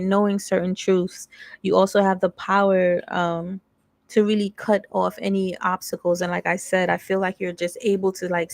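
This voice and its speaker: A woman's voice: fairly low pitch, no room sound. A young woman giving a casual, candid tarot reading, reading card text aloud and then riffing in slangy asides. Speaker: tarot reader.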